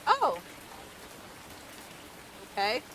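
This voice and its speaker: A woman game streamer doing a casual, expressive let's play, reacting and reading on-screen text aloud. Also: sits high, extremely slow, a little hiss or hum, poor audio. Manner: expressive, reactive, mild exclamations. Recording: loud rain and game ambience behind. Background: loud rain and game ambience.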